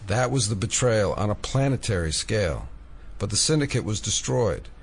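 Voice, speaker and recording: An actor playing a wry, earnest FBI agent obsessed with conspiracies in an audio drama. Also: male voice, on the low side, expressive, moderate pace, creaky, husky, heavily acted. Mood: earnest, insistent.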